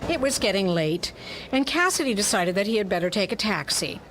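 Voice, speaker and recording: A woman's voice: medium pitch, big pitch swings, fairly quick, a bit bright, a little hiss or hum, poor audio. A woman reading scripted, dramatic narration for a hardboiled detective radio story.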